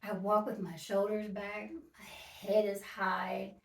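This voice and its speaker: A woman's voice: medium pitch, little pitch movement, slow, gravelly. A woman in her early fifties talking casually and directly to her viewers, emphatic and repeating her points. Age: 50s. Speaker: vlogger. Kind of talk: casual talk to camera. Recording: echoey room, mic at a distance.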